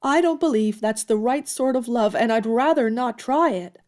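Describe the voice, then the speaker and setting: A woman's voice: on the high side, lively intonation, normal speed, a little mellow, lightly acted. An actress playing a frank, spirited young woman in an audio drama, speaking earnestly and candidly. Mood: pained, pleading.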